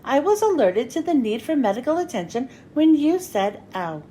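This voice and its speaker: A woman's voice: high-pitched, big pitch swings, moderate pace, warm tone, a little hiss or hum. A woman voicing a caring storybook healthcare robot with a formal, precise and helpful manner. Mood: helpful, courteous.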